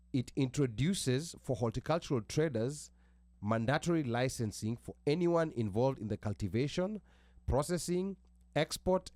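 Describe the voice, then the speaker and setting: An American man hosts a talk radio discussion, reading a passage aloud in halting bursts with frequent restarts. His voice sits at a middling pitch. The recording is dry and close. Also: darkish tone, no room sound. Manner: reads haltingly with restarts, asks about pronunciation. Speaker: radio show host.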